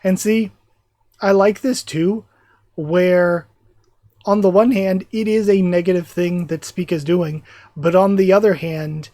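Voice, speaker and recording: A YouTube reactor losing their voice, chatting excitedly in a live reaction while reading a manga aloud. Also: mid-range voice, unhurried, no room sound, studio quality.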